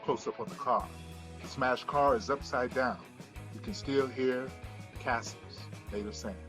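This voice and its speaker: A man's voice: mid-range voice, unhurried, a bit bright, soft background music. A man narrating the stage directions of a screenplay at a table read.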